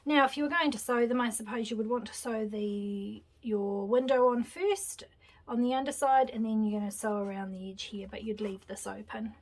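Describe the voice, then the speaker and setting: A woman's voice: on the high side, normal speed. A woman hosting a casual craft tutorial, chatting and thinking aloud as she glues and assembles at her table. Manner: chatty, relaxed, thinking aloud while working. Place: craft table indoors, hands seen from overhead camera.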